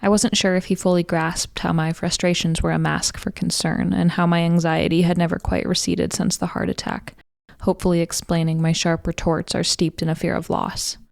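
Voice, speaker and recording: A woman's voice: lowish voice, flat delivery, moderate pace, creaky, no room sound, studio quality. A woman reading a scripted first-person personal essay as its writer.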